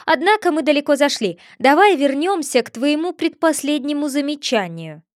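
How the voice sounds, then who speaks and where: Lady doing a snooty egg guy from a storybook, bossy and loud, kinda high. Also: animated, no room sound, clean studio sound. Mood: boastful. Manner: haughty, lecturing, quick to take offense. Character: pompous touchy male egg figure.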